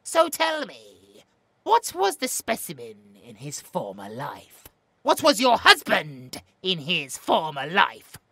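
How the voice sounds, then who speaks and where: A woman's voice: lowish voice, vocal fry, pushed and bright, no room sound, exaggerated voice. A woman playing a cruel, gloating scientist who interrogates captives, with theatrical, taunting emphasis, in a horror audio roleplay.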